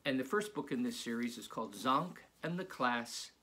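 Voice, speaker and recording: A man's voice: mid-range voice, lively intonation, normal speed, pushed and bright. An older man, a children's author, talking casually and encouragingly as he introduces a reading of his work.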